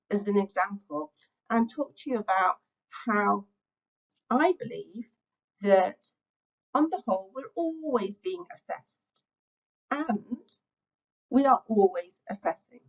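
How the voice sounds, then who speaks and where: A woman's voice: medium pitch, muffled recording. Middle-aged woman giving a talk. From England. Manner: reflective, conversational, frequent "um" fillers. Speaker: teacher-training speaker. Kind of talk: webinar lecture.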